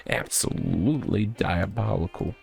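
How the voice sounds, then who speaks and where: A man's voice: low voice, a little slow, gravelly, darkish tone, faint music behind, exaggerated voice. A man voicing a pompous, delusional self-styled cop in a visual novel, with a theatrical, wordy, rambling delivery. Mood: smug, accusing. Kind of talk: visual novel character reading.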